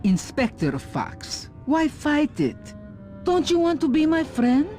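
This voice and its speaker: A woman's voice: high voice, very animated, slow, full character voice. A woman voicing an imperious, scheming spider villain with theatrical menace in a cartoon video game. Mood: silky coaxing. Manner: theatrical, coaxing and commanding. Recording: music behind the voice.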